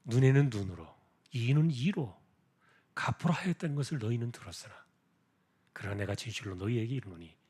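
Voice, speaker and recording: A man preaching an expository sermon as a pastor, explaining deliberately with rhetorical questions and a husky voice. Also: medium pitch, darkish tone. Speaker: pastor preaching at a church prayer meeting.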